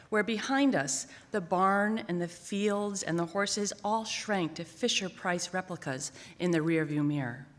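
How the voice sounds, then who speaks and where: A woman's voice: mid-range voice, fairly bright tone. Woman storyteller in a reverberant theater. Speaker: writer reading own essay. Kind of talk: live dramatic personal-essay reading. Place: theater stage at a library, live event. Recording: echoing theater with long reverb.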